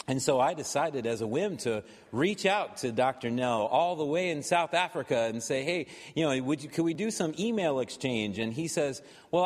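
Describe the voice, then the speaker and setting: A man speaks informally as he introduces a guest at church. His pitch is medium, and his voice rings with the long reverb of a large hall.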